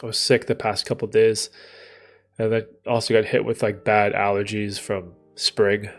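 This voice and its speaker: A man's voice: on the low side, monotone, moderate pace, soft background music. A man from Canada hosting a live Q&A stream, talking with a stuffy nose from a cold and rambling through his thoughts with fillers and restarts. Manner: rambling, thinking aloud with many fillers and restarts. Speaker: livestream host answering chat. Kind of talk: casual live Q&A chat.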